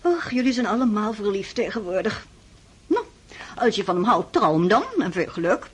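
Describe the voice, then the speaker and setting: A woman's voice: mid-range voice, animated, normal speed, breathy edge. A woman playing a middle-aged countess and mother in a radio drama, curt, scolding and formal. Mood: exasperated. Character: brisk, dismissive aristocratic mother, a countess.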